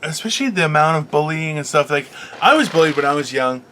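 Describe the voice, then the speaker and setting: Loud, teasing man in his late 30s. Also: a little high, lively intonation, quick pace. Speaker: podcast co-host. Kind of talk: casual chat.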